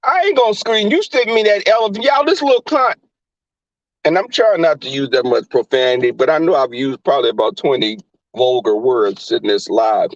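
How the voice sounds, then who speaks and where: A man with a Southern accent hosting a live stream in casual chat, crass and flamboyant, often leaping into high squeals. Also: animated, moderate pace, no echo. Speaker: livestream host. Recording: dull lo-fi stream audio.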